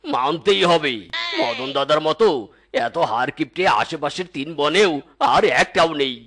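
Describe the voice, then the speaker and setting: A man voicing a teasing, sceptical tiger uncle in a comic cartoon, mocking and forceful. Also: fairly high pitch, animated, normal speed. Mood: scornful.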